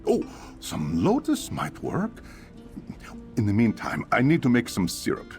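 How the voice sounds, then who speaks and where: An actor playing a chatty, grumbling but curious innkeeper in a fantasy video game, expressive and musing aloud. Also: male voice, medium pitch, measured pace, soft background music. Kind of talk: video game character acting.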